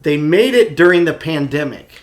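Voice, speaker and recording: A man with a fairly high-pitched voice talks as a podcast co-host. His delivery is informal and enthusiastic, offering opinions freely as in a casual chat among friends. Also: lively intonation, normal speed.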